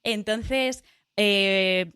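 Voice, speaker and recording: A middle-aged woman with a Spanish accent talks easily as a guest on a podcast. She often starts over and fills the gaps while she looks for words. Her voice sits at an ordinary pitch, and the recording is dry and close with no room sound. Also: very slow, pushed and bright, clean tone, dry recording. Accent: Spain. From Spain. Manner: chatty, many restarts and fillers. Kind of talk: casual interview chat.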